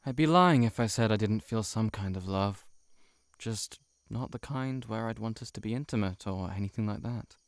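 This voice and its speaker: A man playing a shy, heartbroken friend in an intimate audio roleplay, confiding haltingly to the listener. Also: lowish voice, no room sound, clean studio sound, lightly acted. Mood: regretful, confessing. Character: shapeshifting monster posing as a shy, heartbroken male friend.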